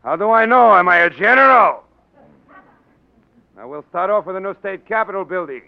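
High-pitched, blustering crooked political boss. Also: male voice, expressive, moderate pace, creaky. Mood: indignant, blustering. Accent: American. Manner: blustering, sarcastic, gangster-like. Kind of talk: 1940s radio drama acting. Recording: dull vintage 1940s radio recording.

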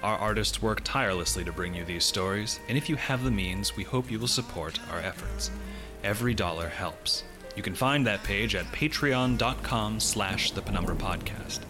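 Low-voiced male podcast announcer over music. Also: moderate pace. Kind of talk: scripted announcement read.

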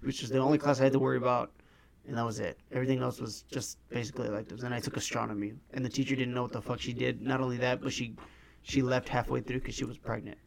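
A man's voice: medium pitch, flat delivery, fast talker, gravelly. A young man in his early twenties co-hosting a podcast, telling rambling stories in casual chat and swearing freely. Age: young adult. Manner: laid-back, swears a lot, rambling storyteller. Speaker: podcast co-host.

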